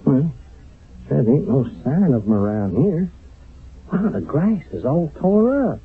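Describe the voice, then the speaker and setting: An actor playing a talkative, excitable young American soldier with folksy grammar and a husky voice in a radio drama. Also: male voice, on the high side, a little slow, slight background noise. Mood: agitated. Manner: colloquial, uneducated grammar, excitable. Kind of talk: 1970s radio drama acting. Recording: muffled narrow-band 1970s radio broadcast.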